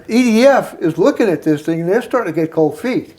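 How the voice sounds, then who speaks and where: High-voiced chatty man. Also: expressive, fast, a little mellow, slight background noise. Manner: chatty, interjecting and finishing his partner's points. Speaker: co-host of an energy talk show. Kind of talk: casual studio chat.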